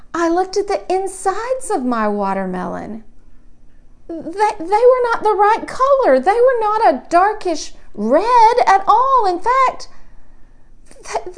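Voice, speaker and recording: Woman voicing a high-pitched, earnest little boy. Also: full character voice. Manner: halting, stammering childlike repetitions. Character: earnest, puzzled four-year-old boy telling his story. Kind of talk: children's picture book read-aloud in character.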